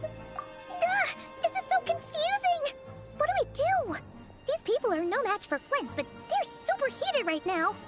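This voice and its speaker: Squeaky, very high childlike fairy companion. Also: female voice, very animated, unhurried, loud music behind the voice, exaggerated voice. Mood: flustered. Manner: speaks of self in third person. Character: small floating fairy companion, childlike, excitable, chatty girl. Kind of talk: anime game voice acting.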